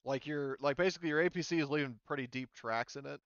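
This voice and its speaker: A man's voice: medium pitch, fast, clear, resonant tone, dry recording, muffled recording. A man narrating a tabletop roleplaying game as game master in a casual, informal way, thinking aloud as he goes.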